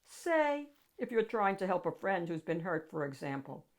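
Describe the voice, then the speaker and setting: A woman's voice: on the low side, very animated, moderate pace. A woman voicing a fussy, officious man who works at a library desk, in a storybook reading. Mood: gently hinting.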